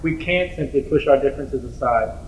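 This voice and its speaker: A man's voice: medium pitch, brisk. Distant young man reciting, echoey room. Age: young adult. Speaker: student performer. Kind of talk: scripted spoken-word recitation. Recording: echoey distant mic, faint noise.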